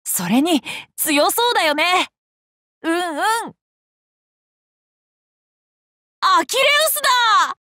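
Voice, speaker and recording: A woman's voice: very high-pitched, sing-song, unhurried, pushed and bright, no room sound, studio quality, exaggerated voice. A woman voicing a cheerful, curious boy king for an anime game, boyish and polite, with playful self-assurance. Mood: excited, admiring. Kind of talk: Japanese anime game character lines.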